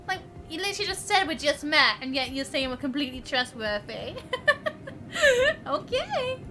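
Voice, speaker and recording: A woman's voice: sits high, sing-song, moderate pace. A woman livestreaming a game, commenting casually in a giggly, playful way. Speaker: live game streamer. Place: indoors, streaming over game audio.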